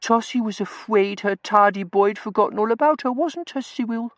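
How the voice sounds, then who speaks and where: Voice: medium pitch, normal speed, fairly bright tone, no room sound, voice pushed up, natural voice. A narrator voicing a spoiled, affected young lady who coos in fluttery, prissy baby talk in a storybook reading. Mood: cooing, coy.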